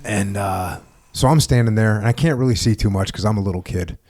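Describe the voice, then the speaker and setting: A man with a low voice tells a story in a loud, lively way and is amused at himself. He jumps up in pitch when he acts out a scene. The talk is casual and full of asides. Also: a little fast. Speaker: podcast host.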